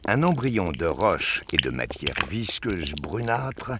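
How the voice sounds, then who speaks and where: A man's voice: deep voice, very animated, slow pace, heavy background noise. A man narrating a sci-fi audio drama in a scripted, dramatic storytelling style.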